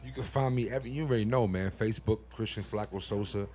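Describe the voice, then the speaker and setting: A man on a casual group podcast, teasing the others in quick banter. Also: fairly low pitch, a little mellow. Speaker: podcast co-host.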